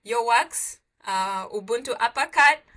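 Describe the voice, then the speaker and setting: Bright-voiced young woman interview host. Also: on the high side, animated, unhurried. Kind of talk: interview host, scripted intro then chat. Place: room with bookshelves.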